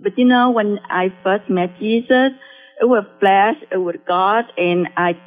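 A middle-aged woman from Vietnam with a Vietnamese accent, a guest sharing her faith testimony in halting speech that restarts phrases. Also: mid-range voice. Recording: tinny phone line with faint music.

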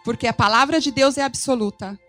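Woman, Brazilian accent, clearly high pitch, live sermon interpreter, faint music behind. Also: fairly quick, a bit bright.

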